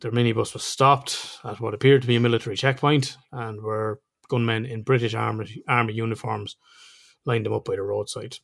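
A man's voice: lowish voice, steady tone, a little fast, vocal fry, fairly bright tone. A man with an Irish accent, co-hosting a podcast in a casual, chatty way, laughing often and swearing now and then. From Ireland. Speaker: podcast co-host.

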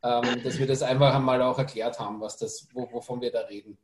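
A man's voice: medium pitch, fairly even intonation, fairly quick, a little mellow. Guy hosting, mid voice, careful explainer, roomy echo. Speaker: podcast host. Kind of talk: casual podcast interview.

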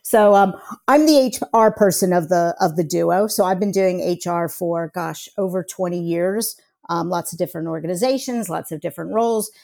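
Mellow-voiced American woman, chatty. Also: lowish voice, moderate pace, gravelly. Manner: chatty, upbeat, many fillers. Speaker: guest, HR professional. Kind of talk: casual interview talk.

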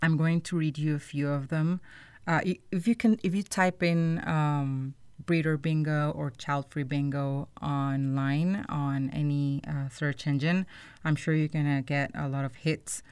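A woman's voice: sits low, fairly even intonation, a little slow, darkish tone, clear, resonant tone, no room sound. A woman hosting a podcast, explaining things to listeners in an informal, conversational way. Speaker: podcast host.

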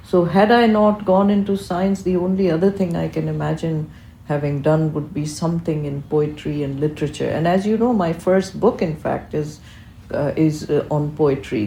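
Eloquent low-voiced woman, native Urdu speaker, roomy. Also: vocal fry, dark tone, slight background noise. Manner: eloquent, reflective, deliberate phrasing. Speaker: interview guest, physician and researcher. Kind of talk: thoughtful interview answers.

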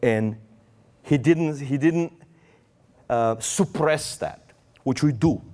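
A man's voice: medium pitch, takes it slowly, warm tone. A man preaching a sermon in English with a Romanian accent, his first language Romanian, acting out quoted prayers with raised voice.

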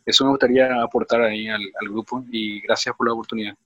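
A man's voice: mid-range voice, little pitch movement, fast, pushed and bright, no room sound, dull audio. A man who coaches swimming at a club, speaking hesitantly with many restarts in an online group discussion.

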